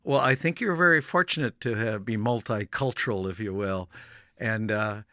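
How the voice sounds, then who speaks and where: A middle-aged man hosts an interview in a bright, forward voice. He spoke German as a first language and grew up in Canada, and he stops and restarts often as he thinks aloud. The sound is dry and close, with no room around it. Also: fairly low pitch, dry recording. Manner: halting, with frequent restarts and fillers. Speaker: host. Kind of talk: conversational interview.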